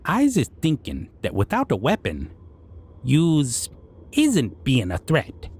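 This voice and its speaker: Slow-talking, sly goblin king. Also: medium pitch, huge pitch swings, a little mellow, breathy edge, exaggerated voice. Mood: amused, condescending. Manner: slow, broken-grammar speech, musing with hums. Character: civilized goblin king, male, sly, curious, menacing but amused. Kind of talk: character audio roleplay addressed to listener.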